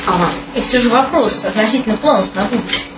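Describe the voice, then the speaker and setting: Woman talking fast, asking stuff, roomy and noisy recording. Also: fairly high pitch. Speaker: participant asking questions. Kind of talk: casual group discussion. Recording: echoey room with background noise.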